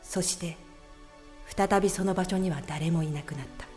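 A woman acting as the solemn, unhurried narrator of a mysterious audio drama. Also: fairly low pitch, darkish tone, soft background music, natural voice.

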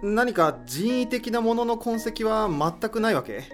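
A man's voice: high-pitched, expressive, unhurried, faint music behind. A man acting in an audio drama as a skeptical, reluctant assistant with a casual, sardonic, questioning manner.

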